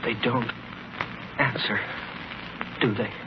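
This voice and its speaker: An actor playing a weak, dying radio operator in a 1940s radio drama, halting and resigned. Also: male voice, mid-range voice, steady tone, natural voice. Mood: weakly anxious. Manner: halting, faint, broken phrases. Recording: muffled, noisy old radio recording.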